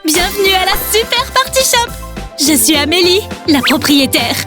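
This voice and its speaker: A woman's voice: very high-pitched, sing-song, moderate pace. A woman voicing a cheerful little witch who runs a party shop in a children's cartoon. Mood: bright, welcoming. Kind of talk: dubbed children's cartoon acting. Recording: music behind the voice.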